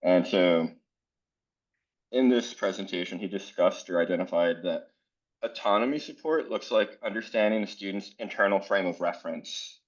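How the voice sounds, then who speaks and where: A man from South Florida leads a workshop for teachers in a very low voice. He talks in a casual, earnest way and fills his pauses with "um." The audio is dull and lo-fi, like a video call. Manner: casual, many fillers, earnest. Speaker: educator leading a workshop. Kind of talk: conference workshop presentation.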